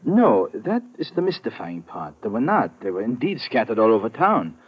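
Husky, animated, anxious German mayor. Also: male voice, on the low side, moderate pace, exaggerated voice. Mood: worried, formal. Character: anxious, overburdened town mayor of a European town. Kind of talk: vintage radio drama acting. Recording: old classic radio recording.

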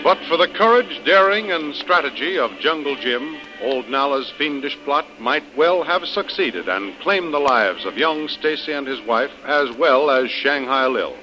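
A man's voice: on the high side, lively intonation, a little slow, hardly any pauses. A man playing a dramatic announcer, narrating a 1940s radio serial in an emphatic, declamatory style. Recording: muffled old radio recording, loud music.

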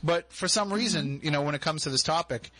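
A man's voice: fairly high pitch, a little fast, fairly bright tone, slight background noise, poor audio. A male podcast host in a studio, asking long, reflective questions of a guest. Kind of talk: interviewing a guest.

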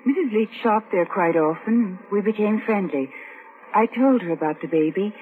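A woman's voice: medium pitch, normal speed, lightly acted. An actress playing a reserved, hesitant shop girl giving plain-spoken, guarded answers in old-time radio drama style. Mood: quietly confessing. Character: guarded, unmarried London shop girl confessing a secret. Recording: tinny, hissy vintage radio recording.

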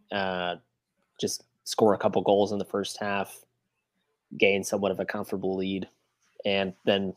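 A man's voice: on the low side, dry recording. A man co-hosting a soccer fan podcast, giving his views in a casual chat.

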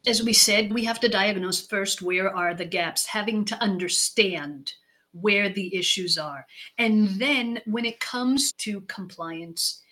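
A woman at mid pitch answers interview questions with deliberate, list-like phrasing. Her sentence endings often drop away softly. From United States. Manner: deliberate, stacks phrases in lists, trails off softly at ends. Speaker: guest expert on leadership and communication.

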